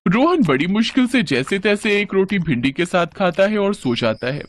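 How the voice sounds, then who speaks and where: Animated, higher-pitched male storyteller. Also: moderate pace, dry recording, clean studio sound. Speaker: narrator. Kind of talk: scripted children's story narration.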